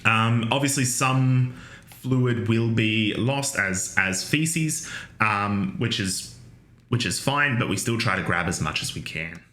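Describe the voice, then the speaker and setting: A man lectures on anatomy with a bright, forward tone. He talks casually, trailing into fillers and restarts, and drops in joking asides. The sound carries the echo of a large room. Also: lowish voice, slow. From Australia. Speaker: university lecturer.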